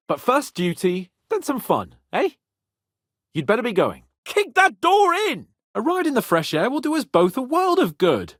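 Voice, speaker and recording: Haughty young medieval lord, hot-tempered, swaggering, hugely varied pitch, dry studio sound, dramatic game dialogue. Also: male voice, a bit bright, no room sound, heavily acted. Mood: urgent battle shouting. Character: cocky, hot-tempered young medieval nobleman, fond of wine and hunting. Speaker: video game voice actor.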